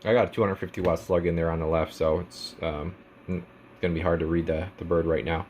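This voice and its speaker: Low-pitched man giving a casual demo. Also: steady tone, fairly quick, darkish tone, a little hiss or hum. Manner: informal, trade jargon, step-by-step explaining. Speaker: radio technician presenting a radio for sale. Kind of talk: casual product demonstration.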